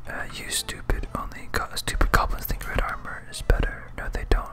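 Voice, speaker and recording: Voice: normal speed, natural voice. An ASMR gamer voicing a dim, stubborn, squabbling goblin general in a whisper, with broken grammar. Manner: broken caveman-like grammar, bickering.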